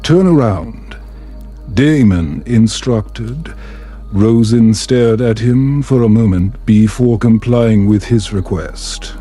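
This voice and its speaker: A man narrating a story in a calm, soft, soothing and measured way, meant for bedtime. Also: fairly low pitch, soft background music.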